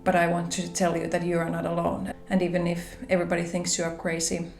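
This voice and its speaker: A woman with a Finnish accent, speaking English as a second language, shares gentle reflections on a spiritual journey in a soft, pausing manner. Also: lowish voice, completely flat delivery, vocal fry. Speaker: spiritual vlogger. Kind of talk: gentle reflective monologue to camera. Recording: roomy echo with soft background music.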